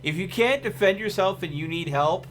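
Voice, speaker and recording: A man's voice: sits high, lively intonation, moderate pace, a bit bright, slight background noise. A man streaming a video game, giving casual commentary and rambling as he thinks aloud. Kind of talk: casual live gameplay commentary. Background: game music.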